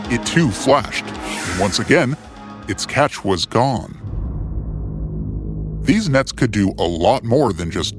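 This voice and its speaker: A man reading a scripted adventure story in a theatrical, suspenseful way, as its narrator. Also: on the low side. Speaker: storyteller, narrator of a fiction series. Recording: music bed under the voice. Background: music.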